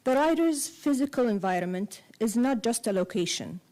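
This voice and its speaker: Measured woman novelist reading her essay. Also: a little high, lively intonation, dark tone. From Kuwait and Saudi Arabia. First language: Arabic. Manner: measured, deliberate phrasing in short cadenced clauses. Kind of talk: reading a prepared essay aloud.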